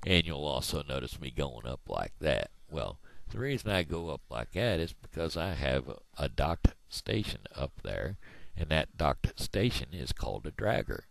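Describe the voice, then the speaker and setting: Brief: rambling folksy blogger, very deep gravelly voice, lively up-and-down delivery, headset mic, dry small room. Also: male voice, moderate pace, no echo. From Missouri, USA. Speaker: video blogger. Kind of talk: casual rambling monologue. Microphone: headset microphone.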